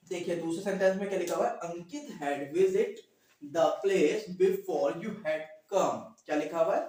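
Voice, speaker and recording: A man's voice: high voice, a little mellow. A man with a sore throat teaching a grammar class, repeating each question to his students and answering it himself. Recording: very echoey room, distant mic.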